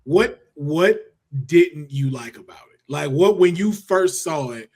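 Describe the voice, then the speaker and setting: Husky-voiced man in casual podcast talk. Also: a little high, lively intonation, takes it slowly, a little mellow. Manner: questioning, informal. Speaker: podcast panelist. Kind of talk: casual pop-culture discussion.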